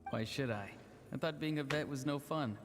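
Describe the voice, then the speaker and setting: A man's voice: medium pitch, moderate pace, a crowd in the background, close to an everyday voice. An actor playing an earnest, melancholy young man in a video game who feels weak, speaking in a subdued, introspective way. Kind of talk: dramatic video game voice acting. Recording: reverberant game audio under clear music.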